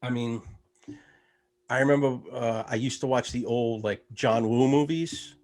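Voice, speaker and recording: A man from the United States chats casually as a guest in an easygoing, rambling way, often pausing on fillers. His voice sits at a middle pitch. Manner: relaxed, rambling, many fillers. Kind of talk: casual conversation.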